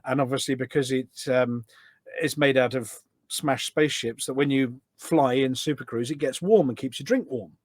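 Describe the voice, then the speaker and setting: A middle-aged man chatting casually as a podcast guest, telling stories in an animated way. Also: mid-range voice, normal speed, no echo. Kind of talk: casual anecdotal chat.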